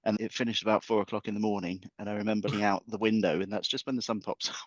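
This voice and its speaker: A man with a fairly low voice talks markets on a podcast, packing his points with playful football metaphors. His audio sounds dry and a little dull, like a remote line. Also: steady tone, racing, no echo. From England. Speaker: guest market analyst. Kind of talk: podcast chat.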